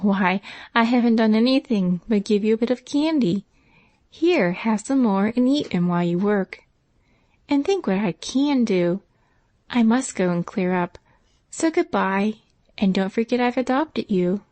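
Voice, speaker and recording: A woman voicing an eager, excitable young girl of means who talks fast and earnestly. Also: mid-range voice, dry recording, natural voice.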